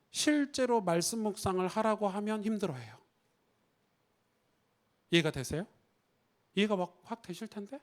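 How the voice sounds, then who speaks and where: A man from Korea giving a Sunday sermon in a church, with a voice a little higher than most men. He talks conversationally, asks his listeners questions and acts out short quoted lines. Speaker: pastor.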